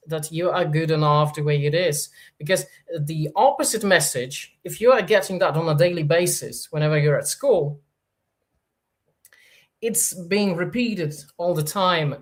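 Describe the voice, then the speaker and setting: A man's voice: on the high side, fairly bright tone. Hesitant Polish-accented man streaming. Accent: Polish, with Norwegian influence. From Poland. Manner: hesitant, many fillers and restarts. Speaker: livestream host. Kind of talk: casual livestream Q&A, reading chat.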